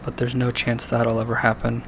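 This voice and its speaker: A man's voice: mid-range voice, monotone, normal speed, a bit bright, a little hiss or hum, natural voice. A man voicing a dejected, timid, bullied young creature boy, mopey and self-pitying, in an amateur cartoon audio drama.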